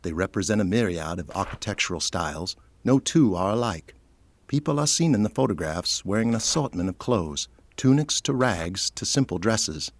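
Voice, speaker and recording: A man's voice: fairly low pitch, lively intonation, normal speed. A man delivering scripted narration for an audio drama, setting each scene.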